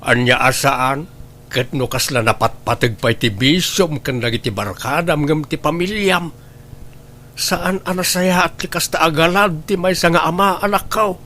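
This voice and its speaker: A man's voice: fairly high pitch, moderate pace, bright, forceful tone, a little hiss or hum. A man acting a concerned father who admonishes his wayward son in an Ilocano radio drama, with a Filipino Ilocano accent. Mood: admonishing.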